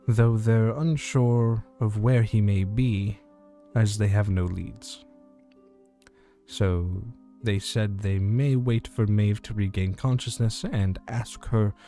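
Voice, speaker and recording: A man playing a small-town radio host in an audio drama, reporting eerie fantasy news in a measured, formal announcer manner. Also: on the low side, warm tone. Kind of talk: scripted community radio news narration in audio fiction.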